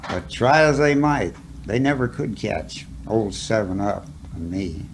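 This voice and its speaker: A man's voice: on the low side, animated, a little hiss or hum. A man reciting a cowboy poem as a dramatic story, in a folksy, rustic manner. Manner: folksy, drawn-out phrasing with rustic dialect.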